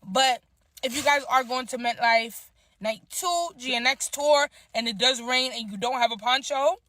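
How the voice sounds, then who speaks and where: A woman chatting in a slangy, animated way as she recaps a concert in a casual vlog. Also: high voice, normal speed, dry recording. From Nigerian heritage.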